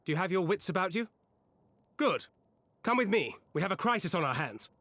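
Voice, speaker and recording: Commanding comrade, husky, high for a man, brisk, dry recording, video game. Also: very animated, a bit bright, no echo, exaggerated voice. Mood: brisk urgency.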